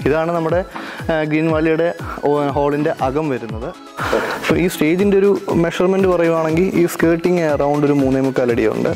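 A man's voice: a little high, fairly quick, darkish tone, soft background music. A man with a Kerala Malayali accent giving a casual, practical venue walkthrough as an event planner and vlog host, mixing in English terms. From Kerala, India. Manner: explanatory, practical, peppers talk with English terms.

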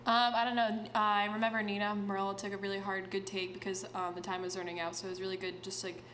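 A woman's voice: medium pitch, flat delivery, fast, fairly bright tone, slight background noise. A young woman college player giving modest, filler-laden answers at a post-game press conference. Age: young adult. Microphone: table microphone.

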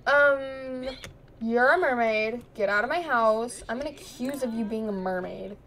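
A woman's voice: on the high side, a little slow, a bit bright. Chatty young woman with a strained voice. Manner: chatty, giggly, talks to the game characters. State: losing her voice. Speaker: gaming streamer. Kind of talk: casual Let's Play commentary.

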